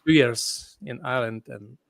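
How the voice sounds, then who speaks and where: A man's voice: mid-range voice, normal speed, vocal fry, no room sound. A man from Ukraine with a Ukrainian accent, a guest musician who is sleepy and hesitant, searching for words.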